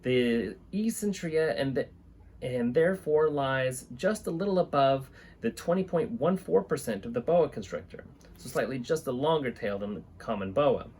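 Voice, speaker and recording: Man, thirties, mid-pitched, informal video host, small indoor room, faint background noise. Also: animated, moderate pace, darkish tone. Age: 30s. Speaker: host of a reptile-keeping channel, reading books aloud. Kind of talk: reading aloud and informal talk to camera. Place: small indoor room with reptile enclosures and a fan.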